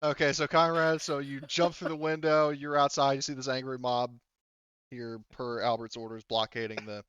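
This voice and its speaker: Bright, clear-voiced man running a tabletop game. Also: medium pitch, moderate pace, no room sound, highs cut off. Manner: animated, laughs often while explaining rules. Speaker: game master. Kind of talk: casual tabletop game refereeing with swearing.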